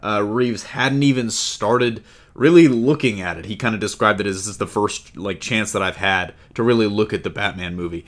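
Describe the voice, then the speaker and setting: A man's voice: lowish voice, normal speed, a bit bright. A man hosting a podcast in a casual, chatty style, bantering and joking with his co-hosts. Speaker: podcast host. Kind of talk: casual podcast chat about comic-book film news.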